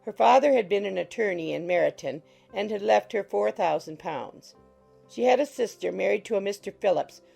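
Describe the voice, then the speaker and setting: Woman narrator, scripted audiobook reading, soft dark tone, some vocal fry, faint music behind. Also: fairly low pitch.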